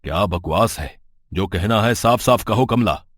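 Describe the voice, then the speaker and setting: A man's voice: lowish voice, unhurried, dry recording, studio quality. Stern, scolding village headman. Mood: indignant. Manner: scolding, authoritative. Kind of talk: dramatic story dialogue.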